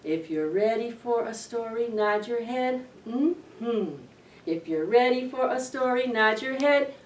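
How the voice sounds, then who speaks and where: Echoey, animated high-voiced woman hosting storytime. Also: very noisy. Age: middle-aged. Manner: expressive, deliberate, addressing young children. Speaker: library storytime host. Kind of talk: children's read-aloud storytime. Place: indoor library children's room. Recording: roomy echo, distant camera microphone.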